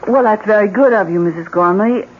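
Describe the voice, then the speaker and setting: An actress playing a polite, anxious married hostess with an earnest manner in a 1970s radio drama. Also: female voice, mid-range voice, fairly quick, lightly acted. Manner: courteous, earnest. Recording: tinny, noisy old radio broadcast.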